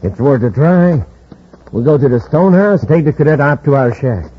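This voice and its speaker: An actor playing a smug, sardonic villain who leads a space gang in a 1950s radio drama. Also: male voice, medium pitch, expressive, moderate pace, slight background noise, natural voice. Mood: scheming. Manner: menacing, sardonic. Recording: muffled, dull old radio recording.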